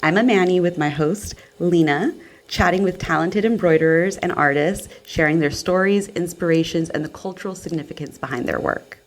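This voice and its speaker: A woman with a low, deep speaking voice hosts a relaxed conversation. She talks in an upbeat way and laughs easily. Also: normal speed. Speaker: podcast co-host. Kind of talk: casual video-call chat.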